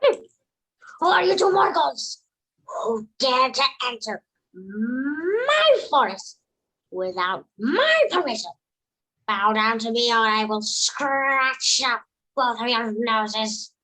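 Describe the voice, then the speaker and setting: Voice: mid-range voice, sing-song, slow, bright, forceful tone, full character voice, rough recording. A performer playing a bossy yet deferential forest monkey in an amateur folktale reading, declaiming theatrically. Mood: haughty, threatening.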